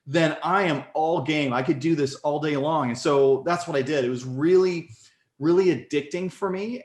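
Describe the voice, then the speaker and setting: A man in his forties with a fairly high voice tells his own story in a relaxed interview. He talks with lots of energy and often jumps up in pitch when something excites him. The room has a noticeable echo. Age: 40s. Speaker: podcast guest. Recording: echoey room.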